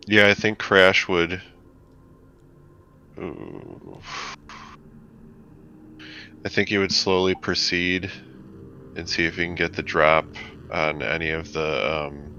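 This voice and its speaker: A man's voice: sits low, gravelly, pushed and bright. A man playing a tabletop roleplaying game, talking casually and thinking aloud in a hesitant way. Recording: dull, lo-fi mic with faint noise.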